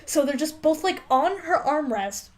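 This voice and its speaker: High-voiced, emphatic woman podcaster. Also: expressive, moderate pace, a little mellow. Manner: sweary, emphatic, mock-exasperated. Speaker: podcast co-host. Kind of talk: casual comedic book discussion.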